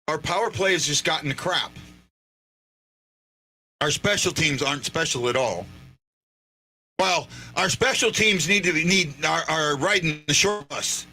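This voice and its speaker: A man hosting a live fan show, giving rambling, excitable and sarcastic commentary while watching a game. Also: fairly high pitch, a little slow, pauses often, breathy edge.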